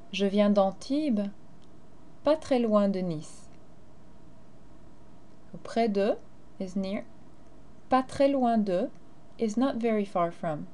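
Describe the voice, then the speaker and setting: A woman's voice: mid-range voice, warm tone. A teacher, a woman, explaining grammar and carefully modeling phrases in a recorded lesson. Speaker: French teacher.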